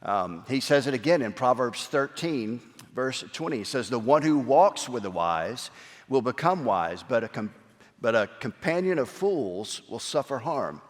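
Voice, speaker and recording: A man's voice: medium pitch, sing-song, unhurried. Man preaching a conversational sermon in a reverberant hall. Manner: conversational, frequent fillers and restarts. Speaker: pastor. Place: church sanctuary.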